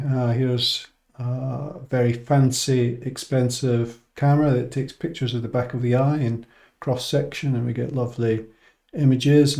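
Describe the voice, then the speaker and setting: Mellow-voiced Scottish man explaining informally. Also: mid-range voice. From Scotland. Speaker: English teacher and medical research scientist. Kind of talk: informal explanatory talk to viewers.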